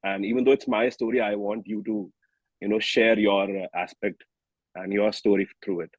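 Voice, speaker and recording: Low-voiced Indian man, slightly husky, chatting. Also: steady tone, normal speed, no room sound, poor audio. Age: early 30s. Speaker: singer-songwriter being interviewed. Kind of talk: casual interview chat.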